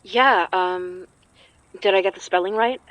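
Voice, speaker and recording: A woman acting as an anxious but determined young folk magic practitioner in an audio drama, sometimes halting. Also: medium pitch, fairly bright tone, dry recording, close to an everyday voice, low-quality recording. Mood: focused, serious.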